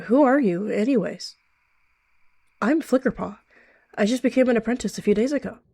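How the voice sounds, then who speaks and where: A woman's voice: fairly high pitch, lively intonation, normal speed, creaky, dark tone, breathy edge, no echo, voice pushed up, heavily acted. A woman voicing a naive, trusting young female cat apprentice in a chirpy storytelling roleplay. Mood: friendly. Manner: chirpy, mumbling when unsure.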